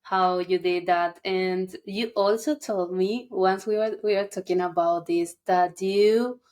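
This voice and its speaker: A woman's voice: mid-range voice, steady tone, measured pace, darkish tone. Young woman hosting a video call chat. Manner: friendly, laughs often, non-native phrasing. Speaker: podcast host. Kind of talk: casual interview over video call. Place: indoor room with curtains.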